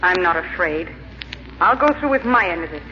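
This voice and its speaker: An actress playing a cold, ruthless wife plotting murder in an old-time radio horror drama, commanding and deliberate. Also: female voice, lowish voice, animated, lightly acted. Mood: steely, commanding. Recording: reverberant muffled old radio with hiss.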